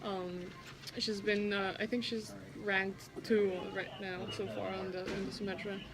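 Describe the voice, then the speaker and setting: Young woman from Ecuador, pro golfer guest, relaxed and hesitant, busy crowd in the background. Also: medium pitch, a little slow, low-quality recording. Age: 20s. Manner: hesitant, many fillers, laughs often. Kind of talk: casual interview answers.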